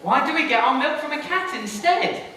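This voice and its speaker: A man's voice: very high-pitched, animated, brisk, sharp tone, exaggerated voice. Man playing a cheeky pantomime lad, echoey theatre. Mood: daft, cheeky. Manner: cheeky, bouncy joke delivery. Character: cheeky, daft young pantomime lad, gag-telling son. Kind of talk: British pantomime stage acting. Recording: echoey theatre, distant mic, audience noise.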